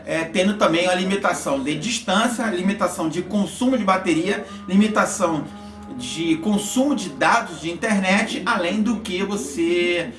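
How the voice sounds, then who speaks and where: A man with a Brazilian accent giving an informal explanation as a vlogger, in a halting, repetitive way with frequent check-in words. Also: high-pitched, over music. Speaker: vlogger reviewing motorcycle communication gear. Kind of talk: informal explanatory product talk. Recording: echoey, roomy sound.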